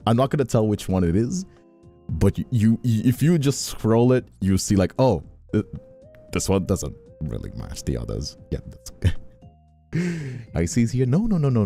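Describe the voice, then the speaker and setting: A man's voice: sits low, mellow. A young adult man chatting casually on a livestream, rambling, stammering and repeating his words. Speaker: streamer and ASMR creator. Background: background music.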